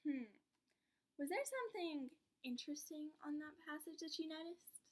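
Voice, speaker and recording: A woman's voice: sits high, expressive, normal speed. A woman teacher giving a read-aloud lesson in an encouraging, instructive manner with guiding questions.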